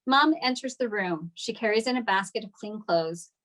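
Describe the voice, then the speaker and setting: Medium-pitched woman reading stage directions. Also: moderate pace, fairly bright tone. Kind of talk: scripted narration at a table read.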